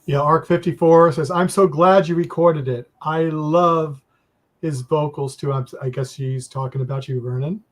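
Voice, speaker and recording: A man's voice: on the high side, fairly even intonation, moderate pace. A man hosting a live-stream chat on a video call, friendly, reading out viewer comments.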